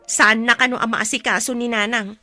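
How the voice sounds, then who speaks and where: Radio drama casting: woman plays weary, resentful Ilocano daughter, high bright voice, exasperated and complaining. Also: level tone, moderate pace, no room sound, soft background music. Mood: aggrieved. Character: weary, resentful adult daughter caring for her sick mother.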